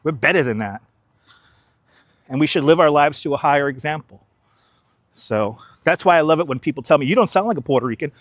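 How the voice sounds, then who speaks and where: A man's voice: fairly high pitch, lively intonation, a little fast, long pauses, no echo, studio quality. A young man, talking casually and with strong opinions to his livestream viewers while walking outdoors. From New York City. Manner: chatty, opinionated, talks straight to viewers. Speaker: livestream host. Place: outdoors on city streets. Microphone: wireless clip-on mic.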